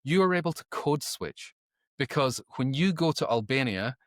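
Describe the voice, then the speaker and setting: A man's voice: mid-range voice, expressive, normal speed, dry recording, clean studio sound. A man speaking as a podcast guest in conversation, emphatic and opinionated, often repeating words as he thinks. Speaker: podcast guest, author and creativity educator.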